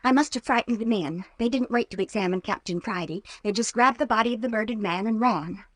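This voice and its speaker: A frightened young woman from a vintage radio thriller, acted by a woman. Her voice is fairly high and pleading, with theatrical delivery and very little room sound. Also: fairly quick, dry recording, natural voice. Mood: shaken, insistent. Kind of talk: 1940s radio acting. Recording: old-time radio drama recording.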